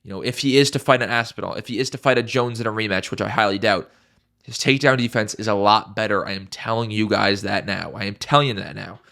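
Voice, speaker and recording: A man's voice: fairly low pitch, fast, gravelly, fairly bright tone. Stuffy-nosed man talking fight picks. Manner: emphatic, repeats key phrases, swears casually. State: congested, stuffy. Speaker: MMA YouTuber. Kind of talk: casual fight predictions.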